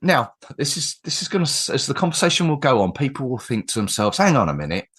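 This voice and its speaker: A man's voice: mid-range voice, very animated, fast, fairly bright tone, slightly rough. A man from England hosting a football podcast, chatting with guests in a rambling, friendly way with frequent restarts. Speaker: podcast host. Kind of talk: casual podcast interview.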